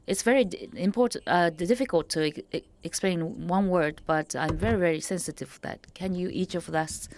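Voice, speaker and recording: A woman giving simultaneous interpretation of a panel discussion, with measured, slightly stilted phrasing. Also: on the low side, a little mellow, a little hiss or hum.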